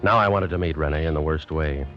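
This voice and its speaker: An actor playing a tough, wry American lieutenant in a 1940s radio drama, clipped and commanding. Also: male voice, a bass voice, brisk, a bit bright, highs cut off, faint music behind. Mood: dry narration. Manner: clipped, hard-boiled, commanding. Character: tough, wry American lieutenant leading French resistance fighters. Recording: noisy 1940s radio broadcast.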